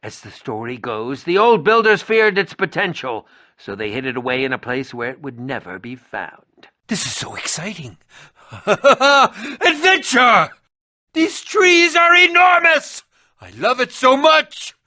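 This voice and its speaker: An actor voicing an excitable, eccentric, sarcastic adventurer in a video game, with a theatrical delivery that moves between muttering and exclaiming. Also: male voice, high-pitched, a little slow, no echo, studio quality, full character voice. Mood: excited, elated. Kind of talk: animated video game voice acting.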